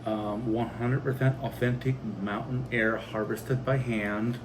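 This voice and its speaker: A man co-hosting a family vlog, telling stories in a casual, rambling and halting way. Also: mid-range voice, fairly even intonation. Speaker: co-host of a family homestead channel. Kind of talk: casual rambling storytelling and chat. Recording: faint background noise.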